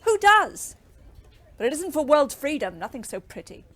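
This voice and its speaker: Fiery young woman war volunteer, highish voice, scathing, bitter, emphatic, audio drama. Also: sing-song, normal speed, fairly bright tone, soft background music. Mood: furious, contemptuous.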